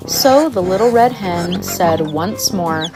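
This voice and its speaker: A woman narrating a scripted children's fairytale with an expressive storybook delivery. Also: fairly low pitch, takes it slowly, rough recording. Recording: music behind the narration. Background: music.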